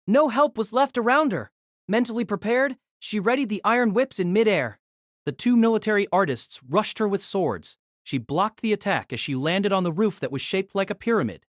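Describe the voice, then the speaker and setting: A woman delivers dramatic scripted narration for a novel. Her mid-range voice moves animatedly up and down in pitch. The sound is close and dry, with no room echo. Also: animated, moderate pace.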